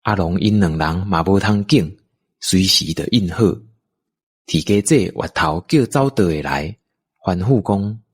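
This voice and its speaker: A man's voice: sits low, unhurried, slightly rough. A man with a Taiwanese accent, narrating a scripted radio drama story.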